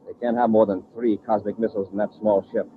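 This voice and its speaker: A man's voice: on the low side, level tone, fast talker, very noisy, close to an everyday voice. A man playing a cool, decisive space patrol commander in a 1950s radio adventure, on a muffled old recording. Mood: calm, determined.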